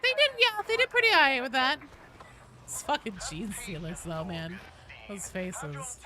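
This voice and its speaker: A woman's voice: mid-range voice, huge pitch swings, measured pace, a crowd in the background. A woman game streamer chatting casually with viewers, informal and sweary, reading and answering their comments as she goes. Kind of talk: casual chat with viewers while gaming. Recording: faint background noise.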